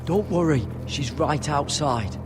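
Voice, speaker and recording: An actor playing a loyal young man, earnest and steady in his replies. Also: fairly high pitch, slow pace, noisy background. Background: music.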